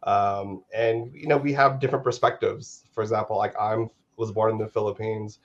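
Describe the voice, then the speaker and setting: A man's voice: on the low side, steady tone, moderate pace, lo-fi sound. A man from the United States, a guest co-host of a podcast, talking casually and jokily with a lot of "like.". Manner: chatty, jokey, lots of "like". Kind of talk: casual chat.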